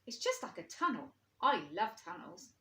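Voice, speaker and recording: A woman voicing an eager, brave young male toy rabbit in a children's storybook, with an excitable, squeaky edge. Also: normal speed. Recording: roomy, echoing indoor sound.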